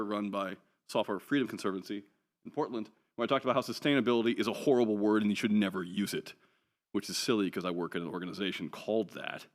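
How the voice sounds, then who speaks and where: A man giving a conference talk from slides, chatty and wry, with plenty of ums and offhand jokes. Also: fairly low pitch. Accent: none New Zealand. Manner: casual, chatty, wry asides with many ums. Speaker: conference speaker. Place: conference hall.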